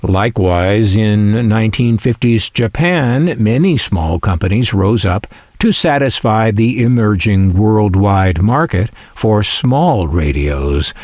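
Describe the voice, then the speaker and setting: A man giving a measured, explanatory narration as a collector presenter, with wry, musing conversational asides. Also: lowish voice, animated, a little mellow, no room sound, clean studio sound. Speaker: collector presenting a vintage radio.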